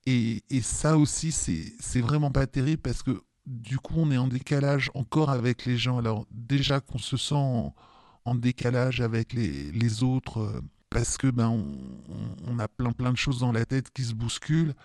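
A man in his fifties gives a spontaneous personal testimony. His voice is soft-edged and mellow, recorded very dry. He hesitates and often repeats words while finding his phrasing. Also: medium pitch, normal speed, dry recording. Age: 50s. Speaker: testimony guest with lived experience of depression.

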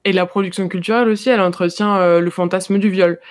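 A young adult in casual discussion, talking hesitantly with many pauses and filler sounds. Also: mid-range voice, fairly even intonation, dry recording.

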